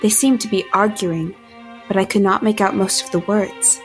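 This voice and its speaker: A woman acts an earnest, troubled young heroine in a period audio drama. Her voice sits at a middle pitch and her phrasing is careful and formal. Music plays clearly behind her. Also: fairly even intonation, moderate pace, natural voice. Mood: tense, haunted. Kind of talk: dramatic first-person narration and period drama acting.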